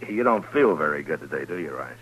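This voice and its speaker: An actor playing a stern, persistent American frontier marshal in a 1950s radio western, firm and probing. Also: male voice, a bass voice, a bit bright, heavily acted. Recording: dull, noisy old radio recording.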